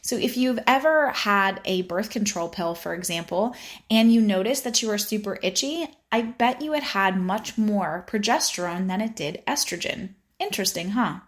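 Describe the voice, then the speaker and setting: A woman's voice: medium pitch, moderate pace. A woman with a Spanish accent hosting a podcast, explaining health topics in an upbeat way with playful asides. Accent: Spanish-accented English. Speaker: podcast host. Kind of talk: informative podcast monologue.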